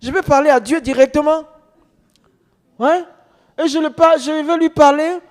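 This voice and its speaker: High-voiced man preaching in a reverberant hall. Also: moderate pace. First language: non-French mother tongue. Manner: emphatic, repetitive, rhetorical questions. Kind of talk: testimony preaching to an audience.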